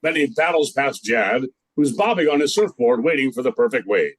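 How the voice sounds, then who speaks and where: An older man with a husky voice narrates a radio play. His delivery is very lively, rising and falling as he tells the story. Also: mid-range voice, fairly quick, no echo. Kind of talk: animated scripted storytelling.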